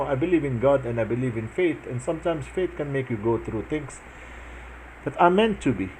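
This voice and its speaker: A man's voice: mid-range voice, darkish tone, slight background noise, poor audio. A man in his forties from Morocco, earnestly telling in a casual chat how he met his wife, with many restarts and fillers. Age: 40s. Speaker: vlogger answering his wife's questions.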